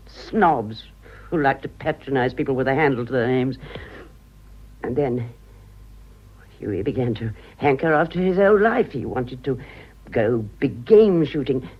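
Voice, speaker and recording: A husky older British woman in a radio drama, vain and self-pitying. Her low voice swoops widely as she confides with theatrical pauses, on a slightly dull recording. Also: full character voice. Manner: dramatic, confiding, halting for effect.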